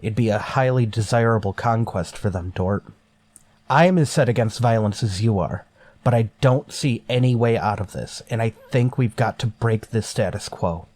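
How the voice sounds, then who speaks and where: An actor playing a stern, resolute starship captain in a sci-fi radio drama, speaking with clipped authority. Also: male voice, on the low side, normal speed, darkish tone, no echo, natural voice. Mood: stern, grave. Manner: authoritative, clipped, reasoning aloud. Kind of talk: golden age sci-fi radio drama acting.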